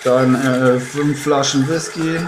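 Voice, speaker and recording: A man's voice: mid-range voice, fairly even intonation, slow pace, close to an everyday voice. A man playing an eager bro who drives on a monster-hunting trip in an amateur audio play, using slang mixed with English words. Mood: casual, organizing. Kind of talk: amateur German horror audio play, improvised dialogue. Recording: echoey with vehicle-like background noise.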